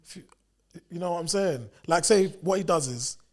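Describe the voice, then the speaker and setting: A young man, a personal trainer co-hosting a podcast, talking in a slangy, animated way and often repeating himself. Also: sits high, normal speed. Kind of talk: casual chat.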